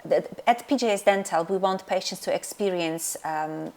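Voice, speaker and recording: A woman's voice: fairly low pitch, normal speed, a little mellow. A middle-aged woman from Poland with a Polish accent, a dentist answering interview questions hesitantly, with many fillers and restarts. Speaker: dentist and practice owner.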